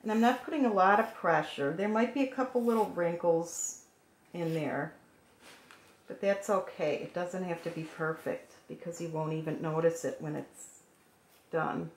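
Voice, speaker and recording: A woman with a hoarse, froggy voice calmly talking through a craft painting tutorial at her work table. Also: lowish voice, normal speed. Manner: calm, gentle instructional talk while working. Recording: echoey room with faint noise.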